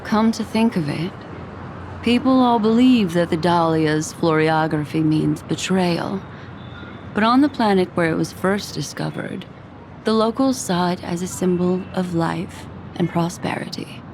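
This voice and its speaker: A woman voicing a composed, soft-spoken game character, gentle and deliberate in how she speaks. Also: lowish voice, a little hiss or hum. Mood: gently reflective. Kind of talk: anime-style video game voice acting.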